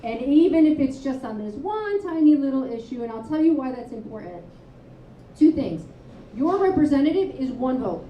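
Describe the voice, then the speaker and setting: A woman author and advocate speaking candidly at a live panel, telling stories with quick asides. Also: sits high, slight background noise. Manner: candid, storytelling, animated asides. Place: live event venue, indoors. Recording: roomy, distant, dull live-room sound.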